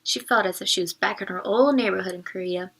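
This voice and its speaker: A woman reading a children's story aloud as a teacher, pausing to ask questions and explain words expressively. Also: medium pitch, fairly bright tone, breathy edge, poor audio.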